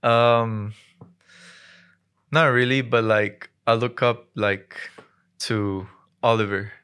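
A young man in his twenties from Hong Kong talks as a podcast guest. His voice is smooth and clear at a middling pitch, and he chats in a relaxed, slangy way. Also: slow pace. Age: 20s. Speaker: basketball player, podcast guest. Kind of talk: casual chat. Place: small dim podcast studio indoors. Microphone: close studio mic on boom arm.

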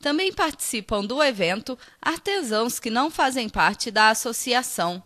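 A woman TV news reporter reading a scripted voice-over in a clear, even delivery. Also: a little high, moderate pace.

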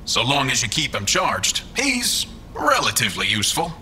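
An actor playing a smug, callous villainous boss, theatrical and condescending, in a science-fiction audio drama. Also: male voice, mid-range voice, huge pitch swings, normal speed, sharp tone, slight background noise, exaggerated voice. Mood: smug, mocking.

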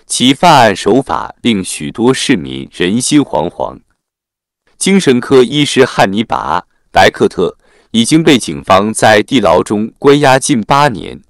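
A man's voice: fairly low pitch, no room sound, clean studio sound. A man reading a scripted film recap as narrator, in a formal storytelling way. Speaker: narrator of film plot summaries.

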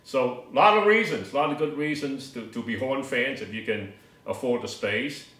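A man's voice: mid-range voice, moderate pace, fairly bright tone, distant mic. An older man co-hosting a casual, conversational discussion about a hobby. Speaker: co-host of an audio hobby show. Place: living room table indoors. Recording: echoey room sound.

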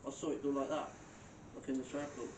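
A man on a ghost hunt, jokey and chatty as he asks questions out loud to whatever might be in the room. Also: medium pitch, level tone, fast, distant mic. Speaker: paranormal investigator. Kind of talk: casual chat, calling out to spirits. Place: stone room in an old mine building at night. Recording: echoey room, off-mic, noisy hiss.

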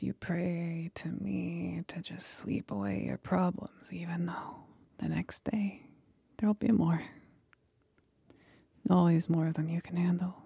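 A woman playing a sly, condescending demon companion in an ASMR roleplay, speaking in a husky, breathy whisper with slow, teasing deliberation. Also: fairly low pitch, mellow, dry recording, heavily acted.

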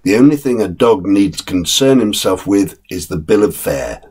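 A man's voice: lowish voice, expressive, normal speed, a little mellow, breathy edge. A man doing a sensible old mother dog. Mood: advising. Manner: homely, sensible, moralizing.

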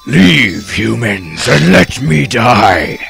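A man's voice: medium pitch, sing-song, very slow, gravelly, a bit bright, faint music behind, exaggerated voice. A man voicing a bitter, melodramatic giant tree with a commanding manner in an abridged cartoon parody. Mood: menacing, bitter. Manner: theatrical, commanding.

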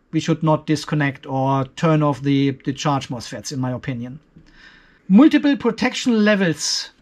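A man with a distinctly high voice and as his walks through a technical comparison. He sounds like a video host in his fifties, explaining details step by step and giving his own opinions. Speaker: video host, off-grid tech reviewer. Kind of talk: explanatory tech review over a spreadsheet.

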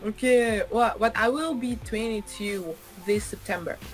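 A 21-year-old woman from Uzbekistan, chatting on a video call, animated and expressive. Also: fairly high pitch, unhurried, soft background music, poor audio. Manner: animated and expressive, exclaims and laughs often. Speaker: video chat user. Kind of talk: casual video chat with strangers. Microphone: webcam video call.

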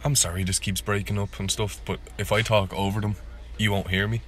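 A man with a deep voice hosts a gameplay video. He talks casually and live, poking fun at his own skills and repeating himself when flustered. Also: level tone, brisk, fairly bright tone. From Ireland. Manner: self-deprecating, repeats words when flustered. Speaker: gaming video host.

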